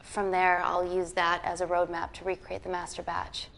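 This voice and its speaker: A woman's voice: lowish voice, monotone, normal speed, vocal fry, a bit bright, a little hiss or hum. A woman playing an authoritative scientist in a screen drama, speaking in a precise, clinical way. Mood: tense, grave. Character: calm, authoritative woman scientist, protective of her patient. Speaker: doctor leading a laboratory. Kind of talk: naturalistic screen drama acting.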